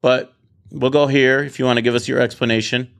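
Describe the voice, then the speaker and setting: A man of ordinary pitch hosts a loose panel debate from his office. He talks bluntly and swears freely, often tripping over repeated words as he starts a sentence. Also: fairly even intonation, brisk, creaky. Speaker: podcast host.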